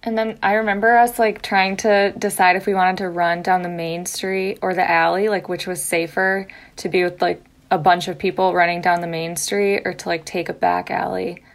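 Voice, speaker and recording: Young American woman, a little low in pitch, informal recollection. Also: little pitch movement, moderate pace, creaky, hardly any pauses. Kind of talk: casual recollection.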